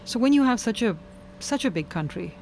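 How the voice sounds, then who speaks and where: A man interprets a speech into English in real time, pausing and correcting himself as he goes. His voice is pitched high for a man, and faint ambience sits in the background. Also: animated, creaky, a little hiss or hum, poor audio. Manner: halting, with fillers and self-corrections.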